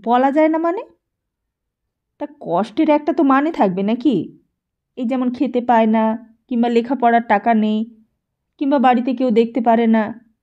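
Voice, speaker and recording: A woman's voice: on the high side, normal speed, long pauses, highs cut off, close to an everyday voice. A woman voicing a pushy, scornful teenage boy who badgers and dismisses in an audiobook story. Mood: skeptical.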